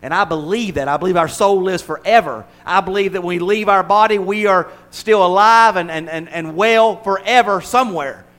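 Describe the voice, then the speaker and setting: A man's voice: high voice, moderate pace, hardly any pauses, fairly bright tone. An older man preaching a conversational sermon as pastor, mixing humor with emphatic, building repetition. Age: 60s.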